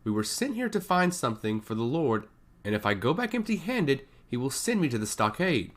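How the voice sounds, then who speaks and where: A man voicing a petulant young male noble who shouts and blames everyone around him in a fantasy story. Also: mid-range voice, big pitch swings, brisk, lightly acted. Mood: anxious. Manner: shouting, irritable.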